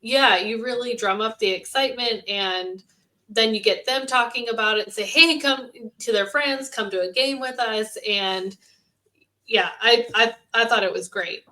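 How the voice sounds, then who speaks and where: A woman co-hosting a fan podcast in casual chat, agreeable and quick to affirm others. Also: fairly high pitch, sharp tone, low-quality recording. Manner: agreeable, frequent short affirmations.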